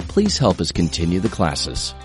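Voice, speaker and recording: A man's voice: sits low, wildly swooping pitch, moderate pace, faint music behind. A man reading a short recorded announcement asking listeners to donate.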